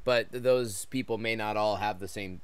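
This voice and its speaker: A man hosting a podcast in a casual interview, friendly and quick to laugh. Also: fairly low pitch, steady tone, smooth, dry recording. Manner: friendly, laughs easily, asks questions. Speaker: podcast host.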